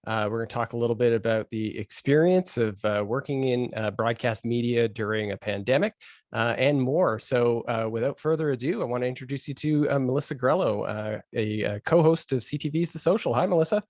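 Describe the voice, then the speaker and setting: A man's voice: medium pitch, a little fast, a little mellow, no room sound. A man hosting an online interview, speaking hesitantly with many uh fillers. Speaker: webinar host. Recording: dry webinar audio.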